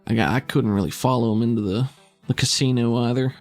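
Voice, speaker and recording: A man's voice: medium pitch, fast, darkish tone, soft background music, natural voice. Man voicing a drawling streetwise invisible spy woman. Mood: matter-of-fact. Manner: drawling, slangy, wry. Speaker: game master. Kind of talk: tabletop roleplay in character.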